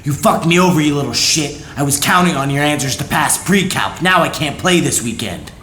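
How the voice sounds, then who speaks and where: A man voicing an aggressive teenage jock bully who swears loudly. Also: a little high, lively intonation, brisk, echoey room, a little hiss or hum. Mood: furious.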